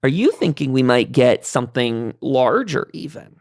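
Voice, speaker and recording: A man's voice: medium pitch, sing-song, normal speed, no room sound, studio quality. A man hosting a casual gaming roundtable, talking in a rambling way and often interrupting himself. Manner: rambling, self-interrupting, hedging aloud. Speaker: podcast host moderating a roundtable. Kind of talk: casual panel discussion about gaming.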